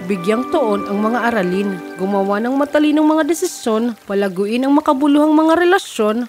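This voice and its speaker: Stern, high-voiced queen mother played by a woman. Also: lively intonation, normal speed, a little mellow, faint music behind, lightly acted. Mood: solemn advice. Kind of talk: animated fairy-tale dubbing.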